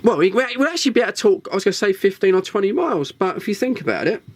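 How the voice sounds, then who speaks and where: English man, higher-pitched voice, very lively intonation, casual rambling hobbyist chat, thinking aloud. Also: very fast. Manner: rambling, thinking aloud, repeats himself, asks tag questions. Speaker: video host and CB radio hobbyist. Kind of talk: casual unscripted chat to viewers. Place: indoors in a small radio shack.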